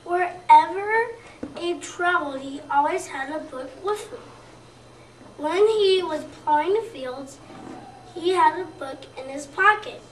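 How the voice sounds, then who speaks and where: A young child of about seven or eight, a second grader, reading a scripted narration aloud in a careful, halting way. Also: very high voice. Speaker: second-grade student reader. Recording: roomy, distant mic, noisy background.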